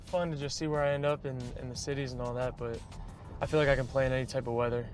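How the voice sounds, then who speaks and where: Relaxed young man answering quickly. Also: medium pitch, music in the background. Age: 20s. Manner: relaxed, short easygoing replies. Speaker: quarterback prospect, interview guest. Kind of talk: casual interview answers.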